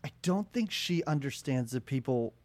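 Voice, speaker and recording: A man's voice: medium pitch, lively intonation, normal speed. A man with a nasal voice, a chatty and self-deprecating guest on a podcast, laughing at his own jokes. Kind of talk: casual podcast chat.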